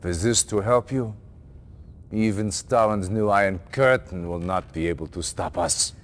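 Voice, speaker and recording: An actor playing a stern, resolute military general in a game cutscene, giving orders with formal, clipped authority. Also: male voice, on the low side, unhurried, close to an everyday voice. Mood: rousing, resolute. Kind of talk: dramatic video game cutscene acting. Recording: long hall-like reverb.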